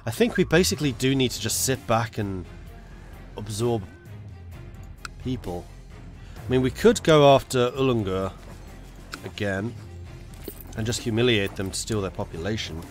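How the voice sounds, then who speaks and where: A man's voice: lowish voice, lively intonation, moderate pace, soft background music. A man with a cold, congested as he chats casually with viewers while thinking aloud through a strategy game. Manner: chatty, muttering to himself while planning. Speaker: gaming streamer. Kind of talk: casual live gameplay commentary.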